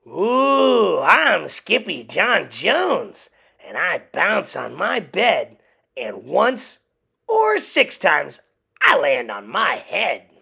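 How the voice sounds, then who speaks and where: Casting: excitable storybook kitten hero, played by a woman, swooping pitch, bright, theatrical, boastful singsong. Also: very slow, creaky, slightly rough, exaggerated voice. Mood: excited, bouncy. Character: excitable boastful little kitten posing as Chihuahua sword fighter.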